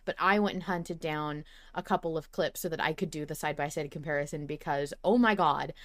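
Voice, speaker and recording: A woman's voice: sits low, fairly quick, no echo, studio quality. A woman hosting a fan podcast in casual, effusive chat with easy swearing. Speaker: podcast host.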